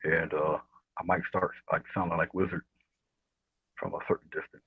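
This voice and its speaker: A man with a low, husky voice giving a casual painting demonstration, speaking softly and often trailing off into a murmur. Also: little pitch movement, moderate pace. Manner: soft, murmuring, trails off near whisper. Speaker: guest painting instructor. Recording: dull, roomy livestream audio.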